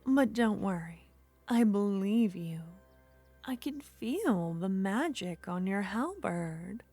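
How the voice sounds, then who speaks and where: Casting: woman playing a seductive witch, very slow, hushed and coaxing, faint music under. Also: mid-range voice, animated, no echo. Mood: reassuring. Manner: slow, breathy whispering, coaxing. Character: flirtatious curious female witch, secretive. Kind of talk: ASMR roleplay.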